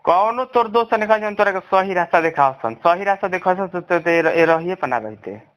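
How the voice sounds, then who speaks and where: A high-voiced man speaks with a Bhojpuri accent in a recorded phone call. He is blunt and scolding, with crude, profane language in a heated personal exchange. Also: lively intonation, a little fast, fairly bright tone.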